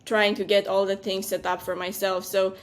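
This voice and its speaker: A woman from Romania in her thirties, a venture partner answering interview questions in a measured, earnest way with frequent ums. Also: mid-range voice, level tone. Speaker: venture capital partner, guest.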